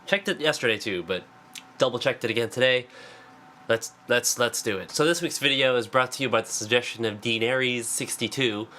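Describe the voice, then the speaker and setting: A man's voice: mid-range voice, fairly quick, sharp tone, a little hiss or hum. Congested man rambling over guitar. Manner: rambling, self-deprecating, lots of fillers. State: congested from a cold. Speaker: singer-songwriter. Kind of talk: casual vlog talk. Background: acoustic guitar.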